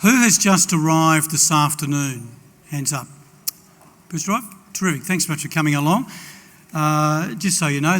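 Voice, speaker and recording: A man's voice: on the high side, fairly bright tone. A man hosting a seminar, introducing the guest speaker with many hesitations in an expo hall. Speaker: event host introducing the speaker. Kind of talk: introductory remarks. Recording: reverberant hall.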